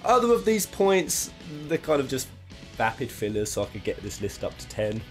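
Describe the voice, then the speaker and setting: Animated, joking man hosting a list video. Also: mid-range voice, moderate pace, soft background music. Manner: crude, florid, playful hyperbole with swearing. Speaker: video host. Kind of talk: casual, jokey list commentary.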